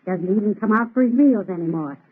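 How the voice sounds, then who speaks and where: An actress playing a scolding, anxious housekeeper to a scientist in an old radio drama, fretting theatrically. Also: female voice, mid-range voice, lively intonation, quick pace, breathy edge. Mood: worried, fearful. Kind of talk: 1930s radio drama acting. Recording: tinny, muffled old radio recording.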